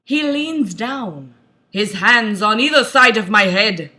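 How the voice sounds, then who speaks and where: A woman's voice: fairly high pitch, expressive, takes it slowly, pushed and bright. A woman narrating a novel as a comedic mock-dramatic reading, with theatrical, exaggerated emphasis.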